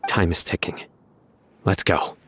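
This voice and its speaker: An actor playing a stern, composed, principled lawman in a video game, speaking in a measured, formal and solemn way. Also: a bit bright, slight background noise, lightly acted.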